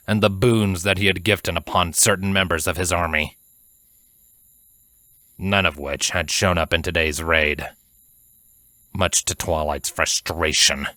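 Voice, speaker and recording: A man reading a fantasy story as a narrator, in a measured, dramatic way. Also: sits low, sharp tone, no room sound. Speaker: fan fiction narrator.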